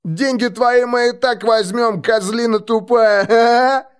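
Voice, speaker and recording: Man acting a loud, crude young thug. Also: high voice, animated, normal speed, voice pushed up. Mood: jeering. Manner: loud, coarse. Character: crude young village thugs, greedy and jeering.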